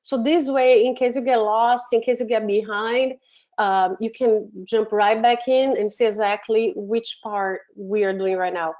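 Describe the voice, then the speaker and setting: A middle-aged woman with a Brazilian Portuguese accent leads an online software class from her home office. Her voice sits fairly high and comes through dull, lo-fi webinar audio. She talks in a friendly way and often pauses and restarts. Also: fairly even intonation. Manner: friendly, many fillers and restarts. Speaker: webinar host, education program manager. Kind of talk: live software webinar instruction.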